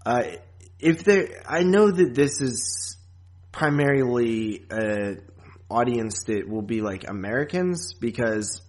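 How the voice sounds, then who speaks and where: A man hosting a podcast in a casual, rambling, halting chat style with frequent asides. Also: medium pitch, animated, a little mellow. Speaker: podcast host.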